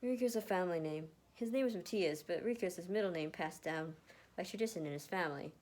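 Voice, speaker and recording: A calm young warrior man, voiced by a woman reading a story, speaks steadily. He is protective and reassuring. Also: fairly low pitch, fast, lightly acted. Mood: explaining.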